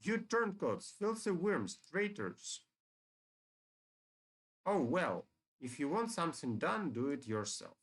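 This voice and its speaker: A man's voice: a little high, very animated, takes it slowly, pauses often, voice pushed up, full character voice. A man voicing a scheming, taunting necromancer villain from a fantasy game, with grandiose phrasing. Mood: furious, contemptuous.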